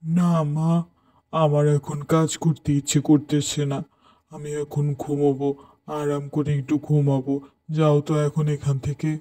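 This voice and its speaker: A man's voice: a little high, completely flat delivery, unhurried, mellow, natural voice. A man playing a lazy, sleep-loving village husband in a cartoon, grumbling and dismissive. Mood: lazy, annoyed. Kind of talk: cartoon voice acting.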